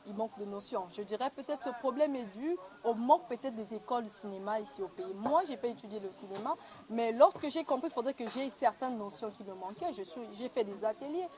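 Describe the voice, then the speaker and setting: A woman's voice: on the high side, fairly quick, hardly any pauses, darkish tone. A young woman with a Congolese accent, answering conversationally as an interviewed film actress, recorded on noisy location sound. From Republic of the Congo.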